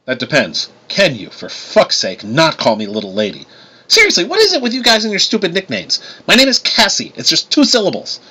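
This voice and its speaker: A man reading the part of a blunt, sarcastic, sharp-tongued woman in an audiobook story. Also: lowish voice, very animated, moderate pace, hardly any pauses, slightly rough, heavily acted. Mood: exasperated. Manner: sardonic, blunt, sweary. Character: sharp-tongued sarcastic woman, self-described fake goddess.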